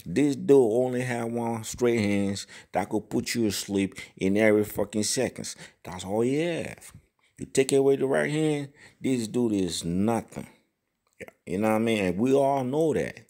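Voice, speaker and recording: A man's voice: mid-range voice, unhurried, vocal fry, a little mellow. A man hosting a boxing channel, giving casual, profane commentary and often asking "you know what I mean?".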